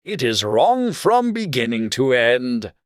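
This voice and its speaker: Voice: mid-range voice, big pitch swings, slow pace, no room sound, studio quality. A narrator voicing a haughty, languid, irritable caterpillar with a curt drawl in a dramatized storybook. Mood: curt, contradicting.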